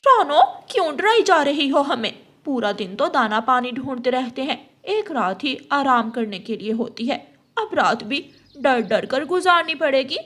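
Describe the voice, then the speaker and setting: A woman's voice: sits high, expressive, normal speed, fairly bright tone. A woman voicing a nagging, anxious female crow wife who complains dramatically in a cartoon story. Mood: indignant. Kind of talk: Hindi cartoon story acting.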